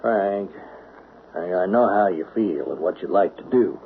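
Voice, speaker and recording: A man's voice: low voice, animated, moderate pace, vocal fry. Old radio drama: genial country sheriff, a man with folksy easy manner, narrow hissy sound. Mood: confiding. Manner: easygoing, colloquial, hemming. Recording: noisy, tinny old radio recording.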